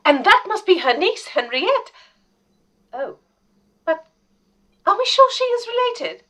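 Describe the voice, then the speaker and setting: A woman's voice: extremely high pitch, sing-song, measured pace, pushed and bright, exaggerated voice. A woman playing an eager, chatty, deferential assistant to a lady detective in a period radio drama. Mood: curious, gossipy. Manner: inquisitive, deferential, gossipy.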